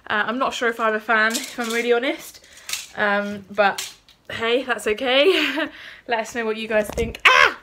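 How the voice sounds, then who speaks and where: A woman's voice: a little high, a little slow, hardly any pauses, pushed and bright. Chatty British woman with a cold. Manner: chatty, lots of ums. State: congested with a cold, a bit out of breath. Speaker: vlogger. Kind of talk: casual vlog chat. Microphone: phone camera. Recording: phone recording with light background noise.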